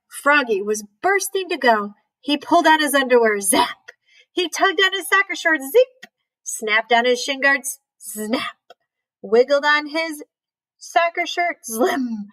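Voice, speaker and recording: Slow, high-voiced woman reading to children. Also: expressive, fairly bright tone, poor audio. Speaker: read-aloud storyteller, teacher. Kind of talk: children's picture book read-aloud.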